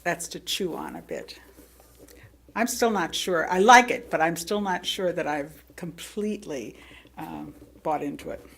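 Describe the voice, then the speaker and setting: A woman reading a sermon aloud to a congregation in measured, deliberate phrases with pauses between them. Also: on the low side, big pitch swings, creaky, husky. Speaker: lay speaker reading another minister's sermon to a congregation. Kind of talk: sermon read aloud, with brief asides.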